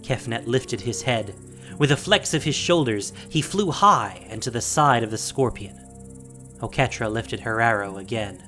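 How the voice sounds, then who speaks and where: A man with a mid-pitched voice narrates dramatic fantasy as a scripted story. Faint music and insect sounds sit behind him. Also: animated, normal speed, pushed and bright.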